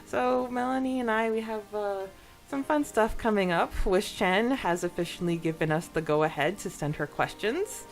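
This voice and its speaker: A woman's voice: medium pitch, moderate pace, faint music behind, rough recording. A woman co-hosting a podcast, chatting casually and reading comments aloud in a giggly, enthusiastic manner. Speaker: podcast co-host.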